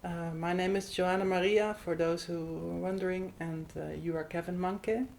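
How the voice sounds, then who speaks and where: A woman's voice: lowish voice, steady tone, normal speed, creaky, a little mellow, a little hiss or hum. A Dutch woman with a Dutch accent, speaking English as a second language while hosting an interview, hesitant with many fillers. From Netherlands. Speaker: host. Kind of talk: conversational interview. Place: Netherlands.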